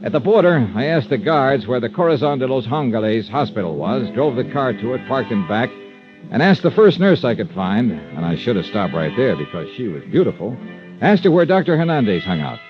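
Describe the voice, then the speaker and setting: An actor playing a determined, wry freelance insurance investigator in a vintage radio drama, with an American accent and clipped, punchy delivery. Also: male voice, mid-range voice, big pitch swings, a little fast, close to an everyday voice. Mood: wry, watchful. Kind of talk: 1950s radio detective drama, narration and dialogue. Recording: dull vintage radio recording with loud music.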